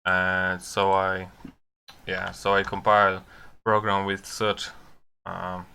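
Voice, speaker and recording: A man who is a non-native English speaker gives unscripted live coding commentary, thinking aloud haltingly with long pauses. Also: sits low, monotone, creaky, bright, forceful tone, clean tone. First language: not English. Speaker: programmer live-streaming his coding.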